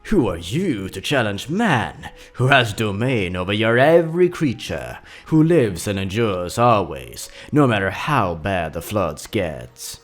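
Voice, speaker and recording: A man performs a haughty emperor from an old legend. He declaims defiantly with big swings in pitch, while faint music plays behind him. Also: sing-song, unhurried, few pauses. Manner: grand, declamatory. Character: proud, defiant emperor challenging the gods.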